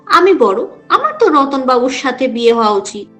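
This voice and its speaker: A woman's voice: sits high, sing-song, moderate pace, a bit bright, soft background music. A woman voicing a jealous, resentful young village woman, an elder sister complaining in a cartoon. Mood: indignant. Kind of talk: cartoon voice acting.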